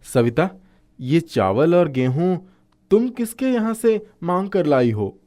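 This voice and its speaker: A man voicing a poor, kind-hearted husband in a cartoon moral story, speaking earnestly and gently. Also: fairly high pitch, big pitch swings, takes it slowly. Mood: puzzled. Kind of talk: animated moral story acting.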